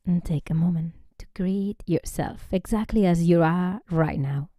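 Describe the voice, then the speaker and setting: A woman leads a guided relaxation in a slightly low, very mellow voice. She is gentle and unhurried, often easing into a breathy near-whisper. The sound is close and dry. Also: no echo. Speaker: yoga nidra teacher.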